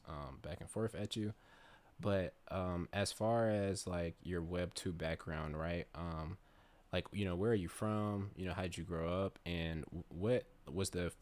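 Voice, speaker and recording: A man with a very deep voice hosts a podcast interview. His talk is loose and easygoing, full of "um", "like" and "right?". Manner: relaxed, filler-heavy, lots of "right?" and "um". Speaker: podcast host. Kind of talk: casual interview hosting.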